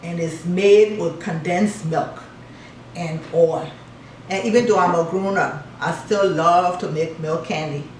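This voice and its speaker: A woman from Liberia with a Liberian accent, calmly explaining each step of a recipe while cooking in her home kitchen. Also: fairly low pitch, measured pace. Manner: calm, step-by-step instructions while stirring. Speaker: cooking channel host. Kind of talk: casual cooking demonstration. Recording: distant mic with frying noise. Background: sizzling pot and stirring.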